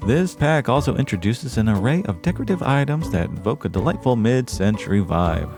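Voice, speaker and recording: Genteel male podcast host, acted by a man: lowish creaky voice, formal and florid, earnest delivery over faint music. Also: lively intonation. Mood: enthusiastic. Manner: formal, florid, measured diction. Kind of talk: scripted podcast monologue.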